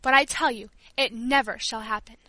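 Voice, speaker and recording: A woman's voice: high voice, animated, moderate pace, sharp tone, dry recording. A woman voicing a bitter, irritable invalid teenage girl in a storybook reading, speaking sharply and scolding. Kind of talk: audiobook character voice.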